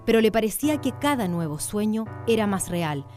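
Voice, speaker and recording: A woman's voice: medium pitch, very animated, fairly quick, music in the background. A woman narrating a radio drama in a scripted storytelling style.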